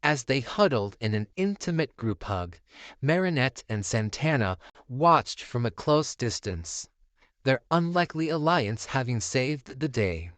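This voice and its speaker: A man reading a scripted bedtime story as narrator, in a measured, theatrical manner. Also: medium pitch, sing-song, fairly bright tone, dry recording.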